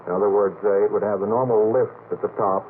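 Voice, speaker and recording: A fairly low male voice sounds thin and band-limited, with faint noise from an old radio recording. He explains things in a measured, conversational manner, pausing to find the words. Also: fairly even intonation. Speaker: panelist, machinist. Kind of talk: technical explanation in casual discussion. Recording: noisy vintage radio, narrow tinny band.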